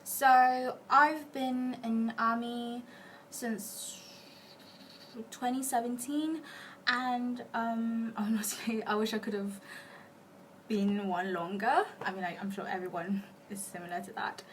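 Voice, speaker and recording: A woman's voice: fairly high pitch, steady tone, slow pace, slight background noise. A twenty-one-year-old woman from Malaysia, a fan base admin, answering interview questions playfully and sometimes dropping to a whisper.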